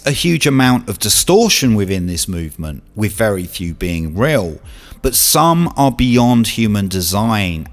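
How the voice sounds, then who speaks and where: A man giving an earnest, portentous scripted narration in the style of a documentary voice-over. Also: on the low side. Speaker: video narrator and presenter. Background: music.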